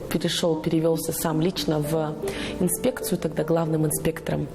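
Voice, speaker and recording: A young woman from Kazakhstan, the head of a volunteer foundation, speaks earnestly and reflectively in a documentary interview, pausing at times to hesitate. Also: deep voice, little pitch movement, gravelly. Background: music.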